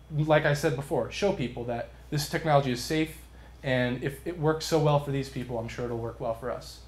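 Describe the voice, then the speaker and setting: A young man, a student contestant, explaining his business pitch to judges in an earnest, conversational way. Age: young adult. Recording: roomy, echoing live sound.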